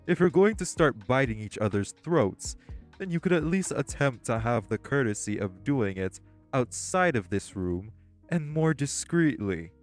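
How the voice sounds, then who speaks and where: A man's voice: mid-range voice, very animated, a little slow. Man as stern proper ringleader, mid voice, music bed. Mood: scolding. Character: composed, formal male crime ringleader. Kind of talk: audio roleplay acting.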